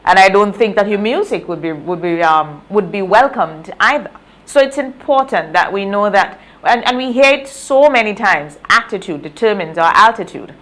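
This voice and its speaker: A Grenadian woman hosting a morning interview in a conversational, deliberate way, repeating phrases for emphasis. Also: medium pitch, lively intonation, fairly bright tone. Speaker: morning show host.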